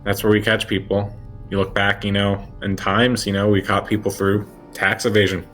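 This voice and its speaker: A man with a fairly low voice plays a tabletop roleplay game. He talks casually in character, and music plays faintly behind him. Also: flat delivery, moderate pace, fairly bright tone.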